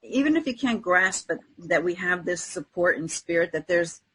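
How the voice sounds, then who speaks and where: A woman's voice: lowish voice, animated, moderate pace, fairly bright tone, slightly rough. A woman co-hosting a podcast, talking in an earnest, conversational way about spiritual things and often checking for agreement. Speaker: podcast co-host. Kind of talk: casual spiritual chat.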